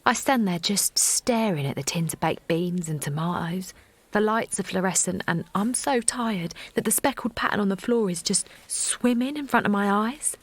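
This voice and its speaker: An actress playing Linda, an exhausted young mother, who narrates and talks in a halting, apologetic way. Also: mid-range voice, husky, natural voice. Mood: weary. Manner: halting, apologetic, often softly spoken. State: tired, overwhelmed. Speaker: narrator and lead character. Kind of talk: audio drama acting.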